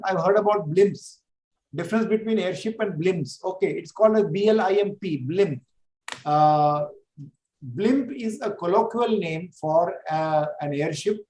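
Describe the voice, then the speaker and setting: A man's voice: high voice, a little mellow, reverberant, low-quality recording. A man with an Indian English accent, a professor taking audience questions in an online course session and thinking aloud with fillers. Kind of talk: answering questions in a lecture.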